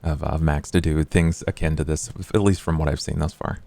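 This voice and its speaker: A man's voice: extremely low pitch, fairly even intonation, a little fast, gravelly, dark tone, no echo. A man playing in a tabletop role-playing game, answering thoughtfully and restarting his phrases. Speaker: player.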